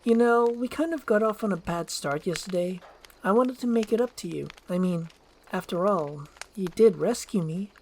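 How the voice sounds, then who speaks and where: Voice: mid-range voice, moderate pace, a little mellow, a little hiss or hum, voice pushed up, close to an everyday voice. A performer voicing a sweet, courteous young princess in an acted screenplay reading. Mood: cheerful, apologetic.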